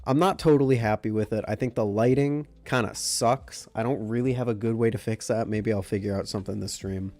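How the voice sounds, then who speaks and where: A man's voice: fairly low pitch, a little fast, hardly any pauses, faint music behind. A young man with a stuffy, nasal voice from a cold, chatting casually with live viewers as a stream host. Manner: relaxed, greeting chat by name. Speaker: livestream host.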